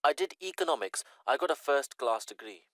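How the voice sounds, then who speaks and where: A man's voice: mid-range voice, animated, a little fast, a bit bright, dry recording, close to an everyday voice. A man acting a polite, careful student making an enquiry in a scripted listening-test dialogue. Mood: polite, eager. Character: courteous eager male Pakistani student enquiring by phone.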